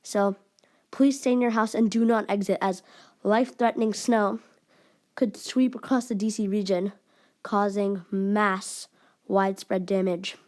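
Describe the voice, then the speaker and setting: A woman giving mock emergency news announcements in a formal but halting, amateur way. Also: medium pitch, fairly even intonation, darkish tone.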